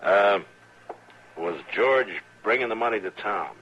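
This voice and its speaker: An actor playing a steady, authoritative American frontier lawman, terse, measured and dry, in 1950s radio western style. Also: fairly low pitch. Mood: calm, attentive. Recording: muffled, hissy old radio recording.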